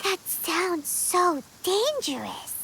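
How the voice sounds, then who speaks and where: Casting: excitable little game fairy, squeaky childlike register, big lively swings in pitch, measured pace. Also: female voice, vocal fry, a little hiss or hum, full character voice, rough recording. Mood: worried. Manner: exclamatory, refers to itself in third person. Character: small childlike fairy companion, excitable and naive. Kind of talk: video game voice acting.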